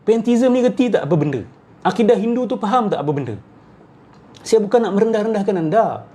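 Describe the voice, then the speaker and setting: A man's voice: sits high, expressive, a little fast, warm tone. A middle-aged man giving off-the-cuff, argumentative religious commentary, repeating his phrases and firing rhetorical questions.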